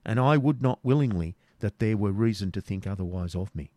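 A man voicing a dignified, wary knight who speaks measured, formal old-fashioned English in a storybook reading. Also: on the low side, animated, dark tone, dry recording, lightly acted. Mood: courteous, guarded. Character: dignified, courteous, wary male medieval knight.

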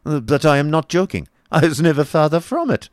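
A man's voice: very animated, normal speed, creaky, no echo, studio quality. A man voicing a frank, blustery baron in a storybook reading, with an exclamatory, emphatic delivery. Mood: doubtful, earnest. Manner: exclamatory, emphatic, jumping up in pitch.

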